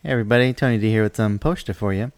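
A man's voice: on the low side, very fast, heavy background noise. A man co-hosting a podcast who reads out listener mail in a chatty, joking way. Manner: chatty and jokey, with frequent fillers and laughs. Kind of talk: casual banter.

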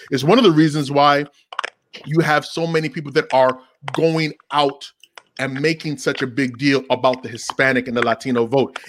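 A man with a mid-range voice explains his views at length in an earnest, conversational way. A clear noise bed with clicks sits behind him. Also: medium pitch. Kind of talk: casual heated discussion. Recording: noisy background with clicks.

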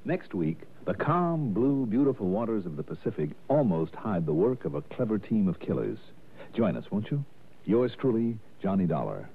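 An actor playing a hard-boiled, unflappable investigator in a 1960s radio drama, narrating in a brisk, clipped way. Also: male voice, lowish voice, very animated, creaky, slightly rough, exaggerated voice. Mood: inviting. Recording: narrow lo-fi 1960s radio, music behind.

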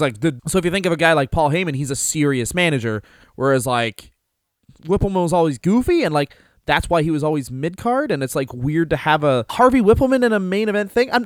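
A man's voice: mid-range voice, no room sound, clean studio sound. Rambling casual podcast guy. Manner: rambling, loose, swears casually, many fillers. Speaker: podcast co-host. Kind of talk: casual wrestling podcast chat.

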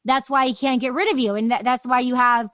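High-pitched woman hosting a casual interview. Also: fairly even intonation, brisk, fairly bright tone, no room sound, clean studio sound. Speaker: interview host.

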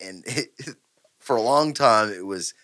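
A man's voice: lowish voice, lively intonation, a little slow, bright, forceful tone. A man hosting a podcast in a laid-back, slangy way, laughing easily as he talks. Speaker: podcast host. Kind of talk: casual podcast chat.

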